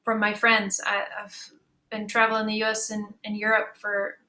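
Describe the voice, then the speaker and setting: A woman's voice: medium pitch, monotone, moderate pace, sharp tone. A woman speaking casually and earnestly on a panel as an audio drama creator, with an encouraging manner.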